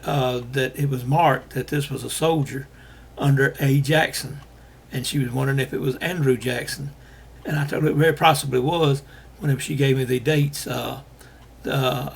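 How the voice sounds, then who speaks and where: An older man from Alabama, hosting a casual monologue to viewers, talking in a halting way with many fillers while he is losing his voice. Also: medium pitch, gravelly, slight background noise. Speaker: host.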